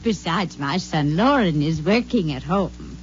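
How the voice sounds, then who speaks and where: A woman's voice: low-pitched, animated, unhurried, faint music behind. An actress playing a genteel, fussy, insistent grandmotherly old woman with a sinister streak in a 1950s radio drama. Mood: genteel, hospitable. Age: older. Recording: muffled, hissy 1950s radio recording.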